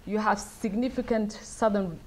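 A middle-aged woman from South Sudan answering audience questions at a lectern, speaking thoughtfully with many pauses and fillers. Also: medium pitch, steady tone, darkish tone, low-quality recording. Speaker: guest speaker. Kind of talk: lecture Q&A answers. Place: wood-paneled event room, at a lectern. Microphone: lectern mic.

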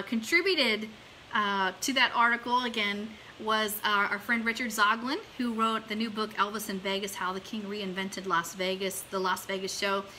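A woman's voice: on the high side, rough recording. A middle-aged woman hosting an online show in a chatty, informal, enthusiastic way, partly reading aloud. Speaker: host of an online radio show. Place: small room indoors at home or office. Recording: steady background hiss.

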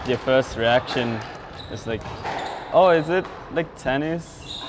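A young man from Japan, a college squash player and non-native English speaker, talking in short pausing chunks. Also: medium pitch, background noise. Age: young adult. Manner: simple phrasing, pausing between short chunks. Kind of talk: interview.

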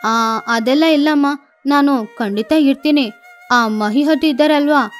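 A woman's voice: sits high, measured pace, soft background music. Woman as an entitled young boy parrot. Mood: greedy, smug. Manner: self-assured, boastful.

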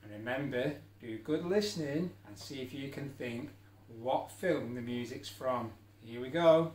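A man's voice: mid-range voice, measured pace. A middle-aged man teacher leading a children's exercise video, giving simple, encouraging step-by-step instructions. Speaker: special-needs school teacher leading an activity. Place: indoor room at a wooden table. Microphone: camera a few feet away. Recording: echoey room, music in the background.

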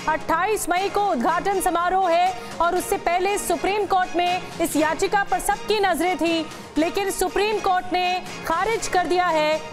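A woman anchors breaking news from a television studio, her voice pitched very high and pressing each point with urgent, repeated emphasis. Faint music runs beneath her studio-mic delivery.

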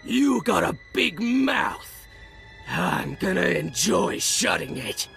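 A hot-tempered gang bully in a sci-fi game. The voice is high, harsh and heavily gravelled, with slow, swaggering taunts full of menace. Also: male voice, expressive, a bit bright, soft background music, exaggerated voice. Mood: angry, menacing. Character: aggressive male thug gang leader, short-tempered bully. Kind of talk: video game dialogue acting.

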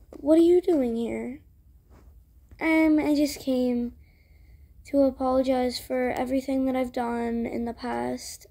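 A woman improvising character dialogue for a roleplay story video, with halting, hesitant delivery. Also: high voice, gravelly, mellow. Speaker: video creator voicing all characters.

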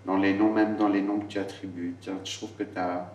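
A man on a jury questions a candidate in a frank, teasing way. His voice is low. He sounds a little distant, in an echoey room with faint ambient noise. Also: level tone, brisk, darkish tone. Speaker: agency executive on a jury. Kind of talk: casual interview questions. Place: large concrete backstage room.

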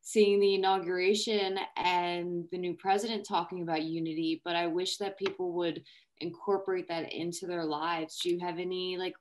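A woman in her thirties with a slightly low voice moderates over a video call. She speaks casually, as if in conversation. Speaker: discussion moderator. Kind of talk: casual, conversational panel talk. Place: indoor room on a video call.

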